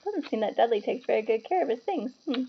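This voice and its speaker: A woman narrating a children's novel aloud as an expressive storybook reader. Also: on the high side, quick pace, vocal fry, breathy edge, lo-fi sound.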